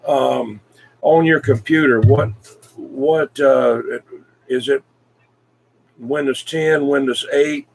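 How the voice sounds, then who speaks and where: A man in casual CB radio chat, talking in a folksy, rambling, hesitant way with frequent pauses. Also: medium pitch, fairly even intonation, gravelly, darkish tone, poor audio. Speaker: CB radio hobbyist, video maker.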